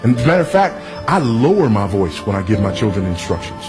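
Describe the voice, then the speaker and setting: A man's voice: fairly low pitch, very animated, fast, music in the background. Firm, didactic man preaching. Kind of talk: sermon.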